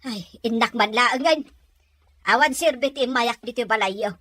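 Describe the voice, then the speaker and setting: A woman's voice: a little high, a little slow, pushed and bright, no echo. A woman acting a scolding, suspicious woman in a Filipino radio drama, with a Filipino accent and an insistent manner. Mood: exasperated.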